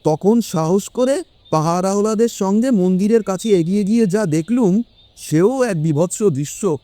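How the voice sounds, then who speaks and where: A man's voice: high-pitched, dark tone, lightly acted. A man playing a frightened witness who recounts a horrifying night in a suspenseful audio drama monologue. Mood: shaken. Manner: vivid, suspenseful storytelling. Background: music.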